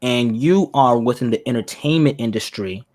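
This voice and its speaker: Man, interview host, mid-pitched, colloquial, casual chat, dry recording. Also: normal speed, darkish tone, no echo. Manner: colloquial, says "man" and "bro" often.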